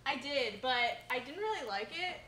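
A woman's voice: high-pitched, sing-song, moderate pace, background noise. A young woman co-host in casual chat, peppering her talk with "like". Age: young adult. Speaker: co-host of student radio show. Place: small classroom studio.

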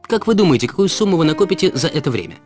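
A man's voice: on the high side, sing-song, rapid-fire. A man delivering scripted explainer narration in a didactic way, putting rhetorical questions straight to the listener. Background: background music.

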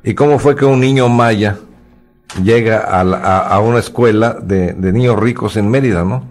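Low-voiced radio host, halting questions. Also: male voice, darkish tone. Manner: hesitant, repeats words while framing questions. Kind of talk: radio interview. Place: radio studio.

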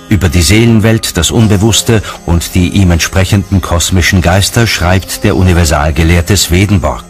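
Deep male narrator reading a documentary script, music under it.

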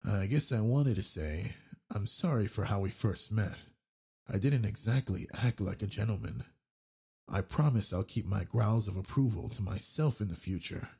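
A man playing a big, gentle, flirty werewolf pack alpha in a romantic audio roleplay, husky and gravelly, talking in a soft, teasing way. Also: lowish voice, moderate pace. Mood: friendly, charming.

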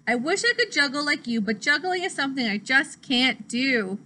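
Earnest young platypus newcomer for a picture book, voiced by a female reader: high, bright, simple delivery. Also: very animated, moderate pace, exaggerated voice. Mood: wistful.

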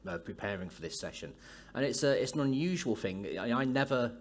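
A man's voice: mid-range voice, expressive, a little fast. A man hosting an on-stage conference interview, chatty and loose with his ums, through a headset mic. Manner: chatty, friendly banter with ums. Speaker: interviewer, host. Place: stage of a large conference hall.

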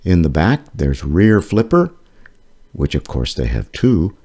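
A man reading an educational children's book aloud as its author, phrasing things deliberately and explaining them for children. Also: sits low, sing-song, mellow, breathy edge.

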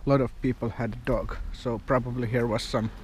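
A man's voice: mid-range voice, level tone, a little mellow, a little hiss or hum. A man, vlogging outdoors from a camera held at arm's length, musing in a rambling, wry way. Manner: rambling, wry, unhurried musing with short fragments. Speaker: van life vlogger. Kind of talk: casual walking vlog. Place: outdoors in a quiet village park and street.

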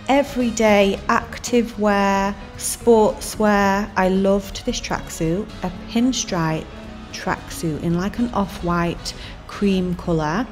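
Chatty woman over music. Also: medium pitch. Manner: relaxed, chatty, with little fillers and trailing asides. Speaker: fashion vlogger. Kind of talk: chatty voice-over vlog narration.

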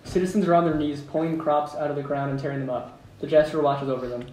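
A man's voice: fairly high pitch, fairly even intonation, fast, a crowd in the background. A man reading out stage directions as narrator at a script table read. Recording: echoey room, distant mic, background noise.

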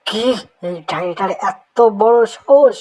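A woman's voice: mid-range voice, lively intonation, unhurried, warm tone, husky. A woman voicing a bold, protective male cartoon friend, earnest, indignant and reassuring by turns. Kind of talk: cartoon dialogue.

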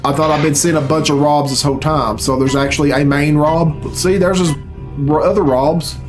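A man's voice: on the high side, steady tone, moderate pace. A man in his thirties giving casual, profane and sardonic reaction commentary in a small room. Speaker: reaction video host. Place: small bedroom, indoors. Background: video game cutscene music.

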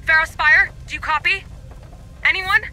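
Desperate lady boss begging for help in a game, high tense voice, bit of music. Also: fairly even intonation, moderate pace, pushed and bright, exaggerated voice, low-quality recording. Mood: urgent. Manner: urgent, pleading. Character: desperate female administrative director sending distress call. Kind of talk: video game voice acting.

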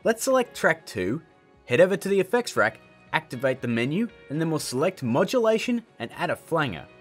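Animated, slightly husky man hosting a tutorial. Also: fairly high pitch, brisk, faint music behind. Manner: jokey, emphatic, theatrical. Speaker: tutorial host. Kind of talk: casual, scripted video tutorial.

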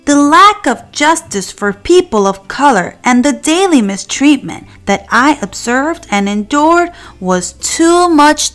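A woman narrating a children's picture book in an expressive, deliberate storytelling manner. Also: a little high. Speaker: children's read-aloud narrator. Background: faint music.

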